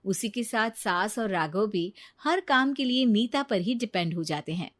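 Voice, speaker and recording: A woman's voice: mid-range voice, animated, a little slow. A woman narrating a scripted moral story.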